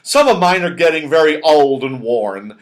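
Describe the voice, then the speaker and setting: Man putting on Moonface, a loud, high, jolly fellow. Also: lively intonation, normal speed, lightly acted. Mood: joyful. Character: Moonface, jolly, kindly big-faced magical man.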